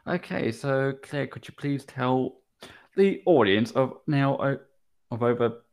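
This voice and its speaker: A man's voice: mid-range voice, takes it slowly, darkish tone. A young man hosting a casual podcast interview, talking hesitantly with many restarts. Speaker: podcast host.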